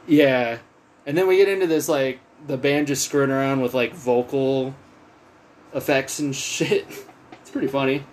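A man with a medium-pitched voice hosts a casual music chat. He talks in loose, slangy phrases and will mouth out riffs and drum parts. There is noticeable noise behind him. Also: normal speed. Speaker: host of a music album discussion show. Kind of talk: casual chat, album commentary.

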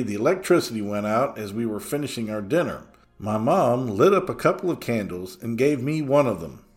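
A man narrates a scripted eerie story as its reader. His voice sits at an average male pitch, and it moves in a very animated way across phrases.

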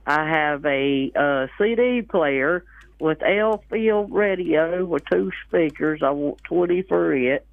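Slow-talking woman on the phone. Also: low voice, creaky. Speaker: caller to radio show. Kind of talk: plain listing of items for sale. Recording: dry, narrow telephone line on radio.

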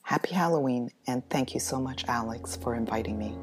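A woman author reading her own spooky story as dramatic scripted narration, in a measured, deliberate manner. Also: extremely low pitch, over music.

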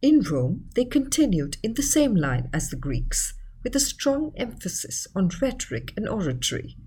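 A woman reading a scripted educational article in a measured, explanatory manner. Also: mid-range voice, sing-song, darkish tone, slight background noise. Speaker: article narrator.

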